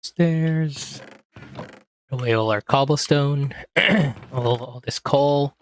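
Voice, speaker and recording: A man with a hoarse voice, quietly talking himself through a game as he plays, in a relaxed, slow, muttering way. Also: mid-range voice, a little hiss or hum. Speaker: gaming video host. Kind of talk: casual gameplay commentary.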